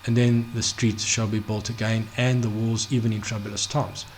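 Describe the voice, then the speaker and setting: A man teaching a Bible study off the cuff, halting, full of restarts, fillers and "okay?" check-ins. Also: lowish voice, little pitch movement, darkish tone, slight background noise. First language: not Hebrew. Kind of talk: unscripted screen-share Bible study.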